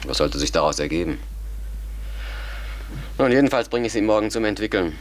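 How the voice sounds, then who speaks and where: An actor playing a sceptical, methodical educated man who reasons formally and deliberately in a German radio drama. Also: lowish voice, lively intonation, natural voice.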